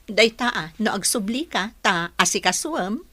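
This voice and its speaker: A woman's voice: medium pitch, expressive, measured pace, bright, forceful tone. A woman playing a practical, frank confidante in a radio drama. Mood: matter-of-fact. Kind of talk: Filipino radio drama acting.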